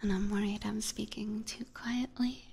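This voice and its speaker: A woman whispering softly and breathily into a close binaural microphone, chatting hesitantly on an ASMR livestream. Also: mid-range voice, flat delivery, moderate pace, background noise. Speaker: ASMR streamer, virtual YouTuber.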